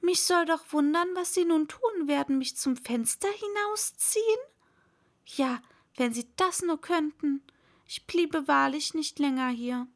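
A woman's voice: high voice, measured pace, voice pushed up. A woman voicing an earnest young storybook girl who muses aloud and scolds herself. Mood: defiant musing.

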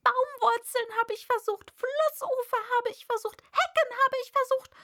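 A woman's voice: very high voice, moderate pace, a bit bright, voice pushed up. A woman voicing an indignant, scornful mother pigeon in a storybook, screeching and aggrieved as she guards her nest. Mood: aggrieved lament. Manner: scornful, accusing, exclamatory. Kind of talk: storybook character voice.

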